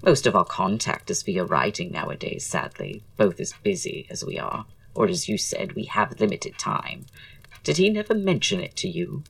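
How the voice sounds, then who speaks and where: A woman's voice: very deep voice, sing-song, moderate pace, gravelly, dry recording, recorded from a distance, full character voice. A woman acting in an audio drama as a flamboyant, witty, teasing male Victorian playwright, with a theatrical drawl and arch, florid wording. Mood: amused, delighted.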